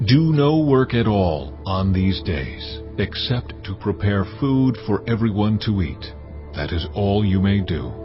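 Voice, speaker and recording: An actor voicing God, delivering measured, authoritative decrees. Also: male voice, fairly low pitch, natural voice. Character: solemn, commanding voice of God. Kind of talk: dramatized scripture reading. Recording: dull audio over music.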